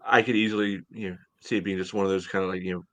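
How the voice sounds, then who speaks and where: An American man in his thirties hosting a casual sports podcast over a video call, speaking haltingly with lots of "you know". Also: deep voice, level tone. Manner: hesitant, halting delivery, heavy use of "you know". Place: home room, indoors, on a video call. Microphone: headset on video call.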